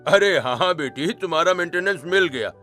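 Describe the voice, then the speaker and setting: A man's voice: a little high, lively intonation, a little fast, bright, forceful tone, soft background music. A man playing a polite middle-aged father and society secretary in cartoon story dialogue. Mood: polite, friendly.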